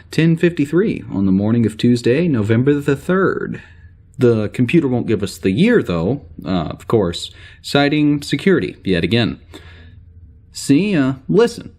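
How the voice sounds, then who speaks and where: A man's voice: medium pitch, a little mellow. A man playing a weary, wry spaceship security officer recording a log in an audio drama, rambling casually and hesitantly. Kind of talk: audio drama spoken log entry, naturalistic.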